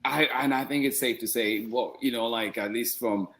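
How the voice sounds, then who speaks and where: A man hosts a casual interview chat. His pitch is average for a man, and he phrases things in a halting, rambling way. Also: steady tone, fairly bright tone. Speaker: story time host.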